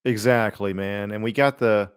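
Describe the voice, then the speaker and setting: A man's voice: on the low side, steady tone, moderate pace, clear, resonant tone, dry recording. Rambling man chatting casually, close dry sound. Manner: rambling, informal, slangy. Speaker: podcast co-host. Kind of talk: casual chat about superhero films.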